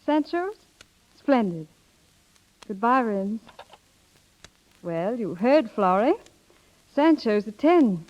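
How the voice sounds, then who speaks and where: An actress playing an innocent, reluctant younger sister, earnest and dryly witty, in a muffled 1930s radio drama. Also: female voice, on the high side, sing-song, slow, pauses often. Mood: bright, flustered.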